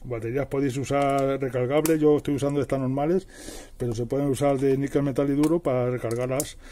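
A man's voice: medium pitch, warm tone. A middle-aged man giving a casual hands-on how-to, explaining plainly step by step. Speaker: presenter of a DIY tutorial. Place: indoors at a worktable.

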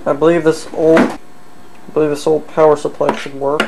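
A person thinking aloud in a halting mutter during a casual hands-on electronics demonstration at an indoor workbench. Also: medium pitch, little pitch movement. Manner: halting, muttering to self while working. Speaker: hobbyist host of an electronics repair video. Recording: noisy camcorder audio with room ambience.

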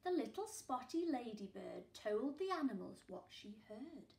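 A woman in her forties, a primary school teacher reading a picture book aloud at home, with gasps and hushed asides for young listeners. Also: a little high, big pitch swings, measured pace, creaky, echoey room. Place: living room at home, indoors. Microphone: camera a few feet away.

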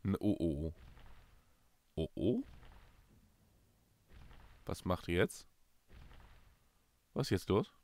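Animated German man, hoarse from a cold. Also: slow, pauses often. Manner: animated, swings from loud bursts to low mutters. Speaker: let's play host. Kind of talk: casual gameplay commentary.

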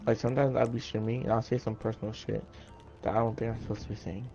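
A man's voice: on the low side, flat delivery, fast talker, creaky, mellow, lo-fi sound, faint music behind. A man from Thailand streaming a game, chatting casually and bluntly teasing his friends with casual swearing. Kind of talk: casual gaming chat.

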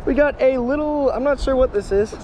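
An excitable young man with a high, bright-toned voice chats breathlessly on an action camera. He exclaims at each find and laughs often. Steady outdoor noise runs behind him. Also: a little fast. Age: young adult. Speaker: magnet fishing vlogger. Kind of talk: excited outdoor vlog chat. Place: outdoors by a pond at an abandoned building, then inside it.

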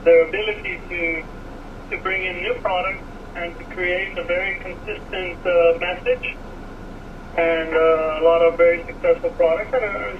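Distant American man, high voice, noisy. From Miami, USA. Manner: thoughtful, hesitant, many fillers. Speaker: car design director guest. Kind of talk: radio interview answers. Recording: distant, dull, noisy radio audio.

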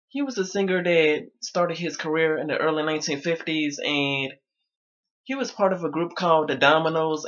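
A man's voice: on the high side, fairly bright tone, lo-fi sound. A young man talking casually to his viewers from his bedroom, his voice a little strained. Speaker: vlogger. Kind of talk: casual talk to camera. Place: small bedroom indoors.

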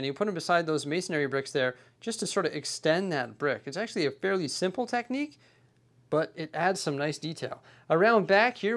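Upbeat man reviewing a building set. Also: fairly high pitch. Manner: enthusiastic but nitpicky, explains while handling pieces. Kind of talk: hands-on product review.

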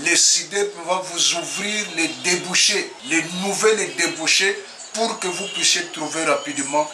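A man's voice: a little high, a little slow. A man in his sixties with a Congolese French accent, a technical coordinator making an insistent appeal to the public from a small office. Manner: insistent, exhorting. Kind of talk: promotional appeal. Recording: echoey room with noise.

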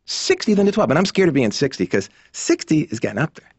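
A man's voice: fairly high pitch, sing-song, quick pace, darkish tone, breathy edge, no echo, full character voice. A man in his thirties playing a neurotic, worried cartoon patient, delivering emphatic stand-up style monologue. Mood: anxious, incredulous. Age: 30s. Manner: emphatic, worried, mimics others. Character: neurotic thirty-nine-year-old therapy patient, anxious about aging. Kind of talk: stand-up monologue in an animated sitcom. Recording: dull, muffled cartoon audio.

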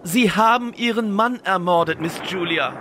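An actor playing a melodramatic private detective in an old-fashioned radio play, formal and theatrical. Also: male voice, high voice, normal speed, pushed and bright, slight background noise. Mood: grave, accusing.

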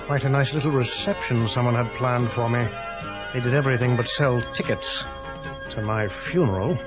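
An actor playing a cool, wisecracking secret agent in a 1980s storybook recording, with dry humor. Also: male voice, medium pitch, normal speed, lightly acted. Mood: wry. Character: suave British secret agent, cool and wry. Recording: dull lo-fi tape with music.

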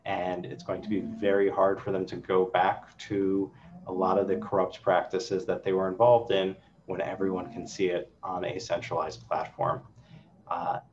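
Deep-voiced man on a dull video call. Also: flat delivery, a little mellow. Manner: brisk, reads questions then answers them himself. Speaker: council member hosting a town hall. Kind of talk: virtual town hall, reading audience questions. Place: office with city window, indoors. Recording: dull lo-fi video call audio with faint hum.

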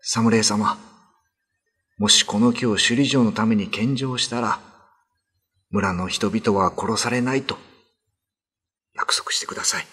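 An actor playing an earnest, heavy-hearted village husband, anguished and resigned, in a ghost-story radio drama. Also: male voice, on the low side, fairly even intonation, a little slow, pauses often, fairly bright tone, husky. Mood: pleading.

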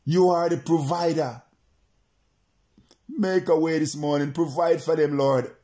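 Slow, fervent preacher man praying aloud. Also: fairly high pitch, steady tone, mellow. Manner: repetitive, insistent, chant-like phrasing. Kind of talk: fervent prayer and preaching to remote listeners.

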